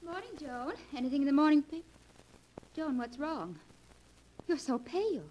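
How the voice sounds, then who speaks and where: A woman's voice: sits high, normal speed, mellow, a little hiss or hum, close to an everyday voice, rough recording. An actress in an old radio play voicing a headstrong, defiant young woman. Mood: haughty. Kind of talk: 1940s radio acting.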